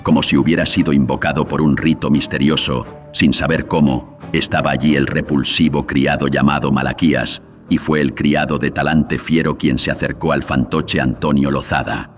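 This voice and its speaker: A man's voice: extremely low pitch, fairly even intonation, moderate pace, soft background music. A man narrating a scripted horror radio drama in a literary, dramatic storytelling manner.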